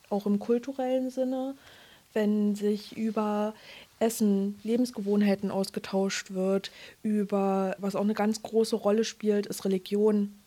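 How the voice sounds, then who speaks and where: A woman youth club worker from Germany, explaining her work in a thoughtful, measured way and searching for words. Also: mid-range voice, a little mellow. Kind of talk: interview clip, reflective explanation.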